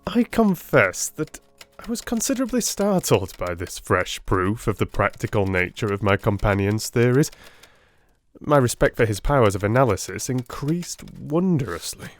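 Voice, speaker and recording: A man narrating an audiobook as dramatic literary reading, in a theatrical, deliberate manner. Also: mid-range voice, very animated, faint music behind.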